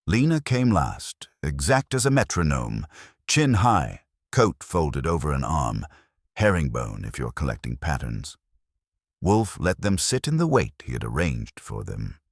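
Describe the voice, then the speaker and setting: A man narrating a scripted detective story as an audiobook reader, with wry, deliberate phrasing. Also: a bass voice, wildly swooping pitch, breathy edge, dry recording, studio quality.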